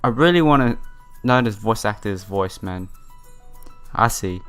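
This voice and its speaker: A man's voice: on the low side, animated, a little slow. Man with a sore throat reading aloud. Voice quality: slightly broken, sore-throated voice. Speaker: let's player reading a visual novel aloud. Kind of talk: casual playthrough, reading game text with side comments. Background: game music.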